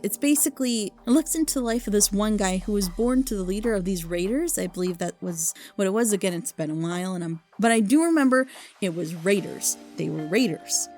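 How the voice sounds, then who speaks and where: A woman's voice: medium pitch, a little mellow. A woman giving casual, unscripted recommendations in an informal, gushing, rambling way, as a reviewer of audio dramas.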